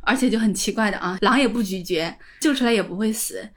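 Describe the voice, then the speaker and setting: A woman's voice: medium pitch, quick pace. A woman co-hosting a talk show, explaining stories and history in a conversational, orderly way. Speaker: podcast co-host.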